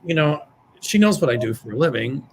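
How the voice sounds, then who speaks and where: A man with a slightly raspy voice, chatting and telling stories with jokes as a psychic on a live show. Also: a little high, animated, brisk, a little hiss or hum. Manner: chatty, joking, storytelling. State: slightly raspy after surgery. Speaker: psychic, show guest-regular. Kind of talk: casual chat on a live stream.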